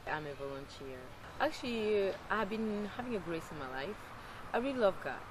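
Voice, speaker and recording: A man's voice: high voice, expressive, moderate pace. A man who volunteers for a ministry gives a fervent, devout documentary testimony. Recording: noisy background.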